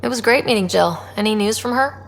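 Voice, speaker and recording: A woman's voice: a little high, fast talker, gravelly, big-room sound. An actress playing a plainspoken, curious female space explorer in a video game, dry and casual in manner. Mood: casual, friendly. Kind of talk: video game dialogue acting.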